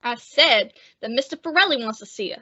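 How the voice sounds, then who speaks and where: A sneering, belligerent bar henchman played by a woman, high and sharp-edged in voice. He needles with sarcasm in old radio crime style, recorded in slightly muffled sound. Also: animated, a little fast. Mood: threatening. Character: cocky, belligerent male barroom tough guy, sneering henchman. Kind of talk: old-time radio detective drama acting.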